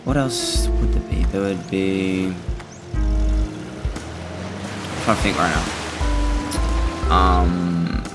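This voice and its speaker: A man giving casual, rambling and halting gameplay commentary, blunt and sweary as host of a gaming video. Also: low-pitched, over loud music.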